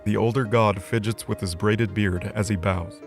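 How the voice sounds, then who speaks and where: Man narrating an audio drama, kinda low voice, loud music underneath. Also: steady tone, moderate pace.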